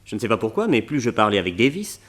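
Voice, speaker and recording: An excitable museum owner in an old radio play, whose voice leaps up and down as he pitches his schemes. He sounds eager and full of showmanship. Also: quick pace. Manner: enthusiastic, animated. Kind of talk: French radio drama acting.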